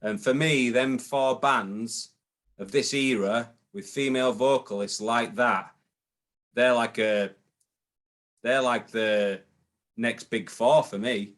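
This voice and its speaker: A man's voice: medium pitch, steady tone, slow pace, a bit bright. Hesitant man, guest chat. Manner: hesitant, lots of ums and repeated starts. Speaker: guest, booking agent. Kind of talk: casual interview chat.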